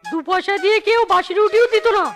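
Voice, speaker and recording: Scornful food seller, cartoon, voice actor performing: very high voice, scolding, dismissive, music underneath. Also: steady tone, fast, a bit bright. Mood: annoyed. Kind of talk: cartoon story dialogue.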